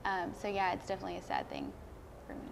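A woman's voice: lowish voice, huge pitch swings, fast, vocal fry, background noise. A young woman answering interview questions, hesitant and searching for words. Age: young adult. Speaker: student interviewee.

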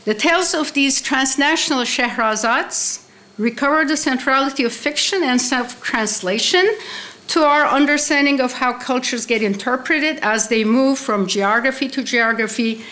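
A middle-aged woman delivering a scholarly keynote lecture, mostly read from a prepared text, with deliberate emphasis on key terms. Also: sits high, pushed and bright. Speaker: keynote speaker, literary scholar. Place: indoor conference hall, seated at a desk with laptop. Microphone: desk microphone on a stand.

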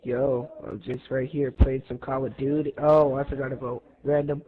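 A man's voice: mid-range voice, little pitch movement, normal speed, phone-quality sound. A man chatting casually with other players over game voice chat.

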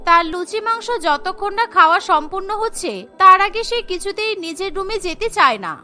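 A woman's voice: extremely high pitch, sharp tone. A woman giving scripted narration for a cartoon story.

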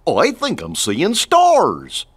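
A man voicing a friendly, slow-witted cartoon dog with a husky drawl in a video game. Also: a little high, wildly swooping pitch, full character voice. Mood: dazed. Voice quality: husky, goofy chuckly drawl.

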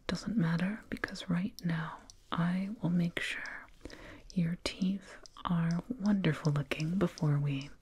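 A woman voicing a soothing, condescending alien dentist in a breathy whispered ASMR roleplay, close to a binaural microphone. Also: fairly low pitch, fairly even intonation, darkish tone, exaggerated voice. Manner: slow, soothing, murmured repetitions. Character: condescending, soothing alien dentist, fussing over a little human.

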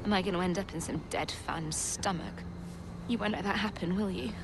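A woman's voice: fairly low pitch, brisk, gravelly, background noise. An actress playing a jaded, self-absorbed female celebrity stranded in a zombie apocalypse, cynical and sardonic, in a video game. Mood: gloomy, cynical. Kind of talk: video game cutscene acting.

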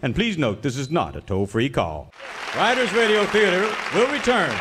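Male announcer for spoof radio commercials, polished and animated, over audience sound and noise. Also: fairly high pitch, moderate pace. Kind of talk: scripted parody commercial announcing. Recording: noisy live recording with crowd.

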